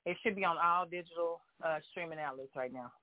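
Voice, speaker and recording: A woman from Oklahoma, a guest singer calling in, talking in a soft, halting way with many fillers. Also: fairly low pitch, a crowd in the background. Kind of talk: phone-in interview. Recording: tinny phone line, dry.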